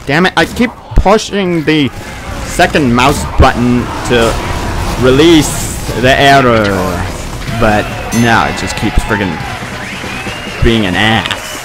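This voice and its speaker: A man's voice: medium pitch, expressive, slow pace, music in the background. A man giving casual, improvised gameplay commentary, rambling in a self-deprecating way and often repeating himself. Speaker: gaming video creator. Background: video game sounds.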